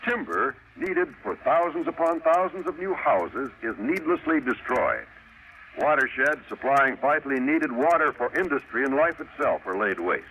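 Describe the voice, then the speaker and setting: A man announcing on mid-century radio, reading a script with grave, emphatic and deliberate phrasing. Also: mid-range voice, sing-song, a bit bright. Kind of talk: 1950s radio announcing, scripted public service narration. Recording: noisy vintage radio broadcast.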